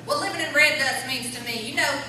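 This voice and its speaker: Brash, sassy townswoman played by an actress, high voice, folksy comedy, echoey hall with crowd. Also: brisk, close to an everyday voice. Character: sassy, brash small-town woman trading one-liners. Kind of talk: live comic radio-play acting. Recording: echoey hall from far off, audience.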